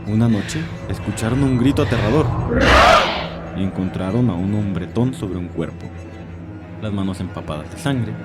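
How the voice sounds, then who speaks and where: Casting: male actor for arrogant old vampire doctor, low expressive voice, savoring dark stories over prominent music. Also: moderate pace, a loud crowd, close to an everyday voice. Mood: ominous storytelling. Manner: theatrical, leisurely, savoring storytelling. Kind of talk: audio drama character acting. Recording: music behind the voice.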